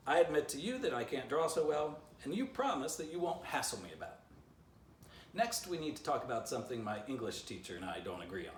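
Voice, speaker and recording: A man's voice: medium pitch, big pitch swings, fast, a little hiss or hum. A man in his fifties reading his own children's book aloud, with playful, chatty asides to young listeners. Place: living room by a fireplace, indoors. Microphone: camera a few feet away. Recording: roomy, slightly distant home recording.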